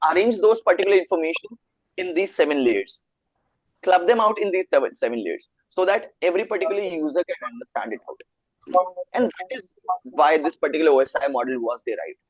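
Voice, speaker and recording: A man's voice: sits high, darkish tone, no room sound, muffled recording. A young man with an Indian accent, Hindi his first language, lecturing a live online networking class and checking in with students often. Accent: Indian English. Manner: explanatory, asks students frequent check questions. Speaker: networking instructor.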